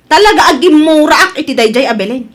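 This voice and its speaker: A woman's voice: high voice, animated, fast. A woman with an Ilocano Filipino accent playing a stubborn, huffy, bossy village wife who holds grudges, in a radio drama. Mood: indignant. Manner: huffy, insistent.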